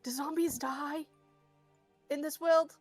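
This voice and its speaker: A woman plays a grumbling, put-upon boy adventurer in a tabletop game. The voice is high and slow-paced, with faint music behind it. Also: steady tone. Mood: wary. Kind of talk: in-character tabletop roleplay.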